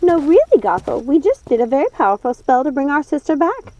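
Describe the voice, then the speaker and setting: A controlling witch sister from a dramatic storybook, acted by a woman. The voice sits high and moves in animated swoops, placating and condescending, with a falsely innocent air. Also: brisk, no echo, highs cut off. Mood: feigned innocence. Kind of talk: dramatic audiobook character voice.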